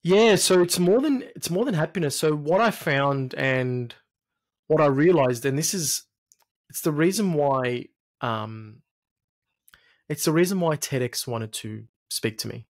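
A man in his late thirties from Egypt and Australia, a podcast guest sharing personal stories in a reflective, halting way with repeated phrases. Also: fairly high pitch, expressive. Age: late 30s. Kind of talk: personal storytelling in conversation. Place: small home studio, indoors. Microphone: close podcast mic on arm.

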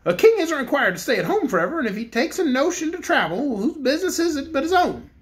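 A woman doing a calm, stately toy bear king. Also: high voice, pitched above the performer's own voice. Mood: calm regal. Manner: measured and reflective, slightly grand.

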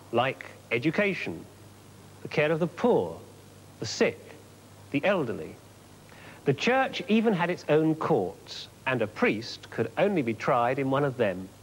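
Young guy narrating church history, bouncy pitch, music under it. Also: poor audio. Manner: clear, explanatory. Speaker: presenter. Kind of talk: scripted documentary narration. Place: outdoors by a cathedral.

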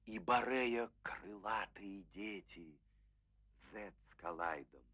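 A man's voice: lowish voice, slow, sharp tone, husky, full character voice. A man playing an ancient Greek hero who solemnly recalls his voyage in declamatory verse for a cartoon. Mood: solemn, nostalgic. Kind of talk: epic verse narration in a Soviet cartoon. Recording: dull old film soundtrack with music.

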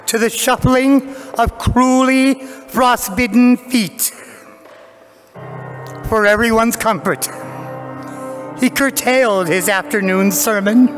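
An older man narrating a stage pantomime from a script, with dramatic, deliberate phrasing and weighty pauses. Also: very high-pitched, steady tone, a bit bright, faint music behind. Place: large church hall stage before a seated audience. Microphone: lectern microphone.

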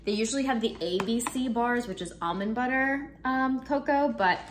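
A woman's voice: fairly high pitch, moderate pace, slight background noise. Chatty young woman in a kitchen. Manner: chatty, relaxed, frequent ums and likes. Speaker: vlogger. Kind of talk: casual grocery haul chat. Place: home kitchen, indoors. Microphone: camera in front, close framing.

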